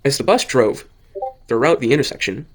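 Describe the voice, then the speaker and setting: Halting man narrating an amateur story. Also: medium pitch, expressive. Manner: halting, stumbles and rereads phrases. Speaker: narrator of an amateur audio drama.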